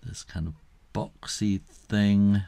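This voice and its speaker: A middle-aged man giving a casual step-by-step drawing tutorial, unhurried and thinking aloud as he works. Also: lowish voice, steady tone, warm tone.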